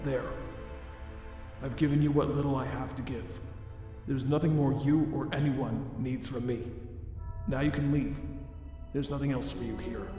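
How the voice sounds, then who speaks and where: A man playing a remorseful, self-loathing monster scientist in a comic dub, speaking in a formal, deliberate way. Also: medium pitch, fairly even intonation, warm tone, natural voice. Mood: resigned. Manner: formal, deliberate, grave wording. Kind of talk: dramatic fan comic dub. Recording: very echoey long reverb under music.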